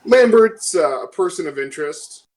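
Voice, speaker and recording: A man playing a professional, diplomatic female marshal in a tabletop game, speaking formally and courteously with halting phrasing. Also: a little high, animated, a little mellow, close to an everyday voice. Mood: formal, apologetic.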